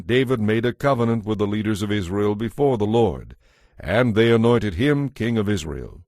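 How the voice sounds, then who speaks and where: A man narrating scripture from a script in a measured, solemn manner. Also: fairly low pitch, no room sound, studio quality. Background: soft music.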